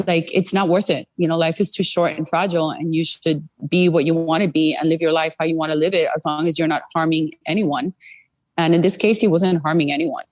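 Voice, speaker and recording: A woman's voice: sits low, fairly even intonation, a little fast, no echo. A middle-aged American woman telling her personal story as an interview guest, earnest and full of "you know" fillers. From United States.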